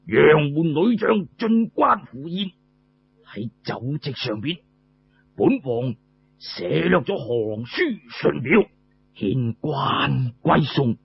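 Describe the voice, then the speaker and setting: A man playing a boastful, scheming warlord in Cantonese storytelling, talking in a pompous, drawn-out way. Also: mid-range voice, creaky, breathy edge, full character voice. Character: boastful scheming male warlord, drinking and gloating. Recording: muffled lo-fi recording.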